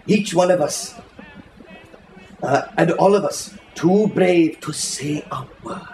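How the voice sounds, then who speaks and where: A man giving an expressive, lyrical and unhurried reading of a literary story aloud to listeners. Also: on the high side, slight background noise. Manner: dramatic, lyrical, unhurried delivery. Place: indoor reading in a bookshop. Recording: roomy echo, faint music behind.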